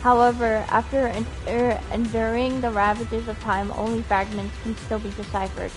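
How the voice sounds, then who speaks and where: Female game streamer, higher register, lively and informal, clear game music underneath. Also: moderate pace, poor audio. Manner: giggly, animated reactions, stumbles when reading aloud. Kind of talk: livestream chat while reading game dialogue aloud. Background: video game music.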